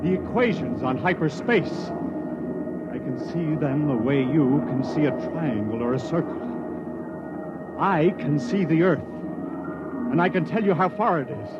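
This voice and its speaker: Slow, intense blinded spaceman. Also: a little high, lively intonation, over loud music. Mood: pained wonder. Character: blinded male starship crewman, pained yet visionary. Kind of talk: 1950s radio drama acting. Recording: noisy, muffled vintage radio recording.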